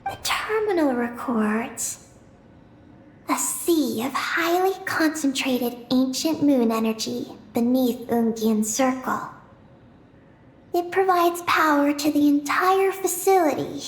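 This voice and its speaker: A woman playing a calm, knowledgeable young guide in a video game, earnest and gentle, explaining things in a measured way. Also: high-pitched. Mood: calm, reassuring. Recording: roomy echo, faint music behind.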